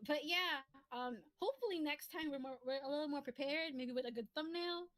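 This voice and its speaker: A woman's voice: high-pitched, normal speed, a bit bright. A woman hosting a casual livestream, chatting in a giggly, self-deprecating way. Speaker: streamer, channel host. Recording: music playing behind the voice. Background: background music.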